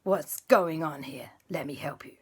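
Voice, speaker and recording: A woman's voice: sits low, a little slow, husky, heavily acted. A woman voicing a vain, breezy male superhero in a children's story, casual and pleased with himself. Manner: casual, self-satisfied.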